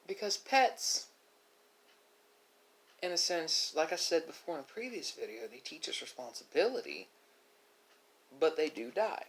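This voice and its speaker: A man speaking off the cuff to his viewers in a philosophical monologue, halting often and correcting himself as he goes. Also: on the high side, lively intonation, fairly bright tone, poor audio. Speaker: ASMR video creator. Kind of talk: unscripted musing monologue to viewers during a mukbang.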